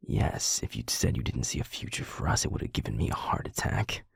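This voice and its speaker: A man playing a gruff but tender, easily flustered young werewolf boyfriend in an intimate audio roleplay, speaking in a hushed, halting, husky voice. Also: rumbling low, very animated, dry recording, in a whisper, studio quality, full character voice. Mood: amused, flustered. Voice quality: breathy, husky, gravelly. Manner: hushed, halting, grumbling affectionate swearing.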